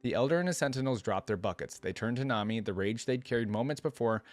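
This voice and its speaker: A man's voice: medium pitch, animated, fast, dry recording. A man hosting a reaction video, reading a story aloud and breaking off into casual, musing commentary with hesitant asides.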